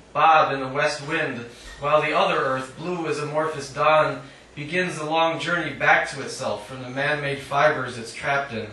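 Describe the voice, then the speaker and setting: A man giving a live poetry reading in a measured, declamatory way with dry humour, adding short spoken asides. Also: a little high, bright, forceful tone. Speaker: poet reading own work. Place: indoor literary cafe reading. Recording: echoey live room, distant mic, faint noise.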